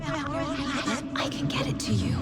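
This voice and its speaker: A woman's voice: sits high, huge pitch swings, slow, full character voice. An actress playing a determined, searching woman investigator in a dramatic game cutscene. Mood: urgent, searching. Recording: loud music under the voice.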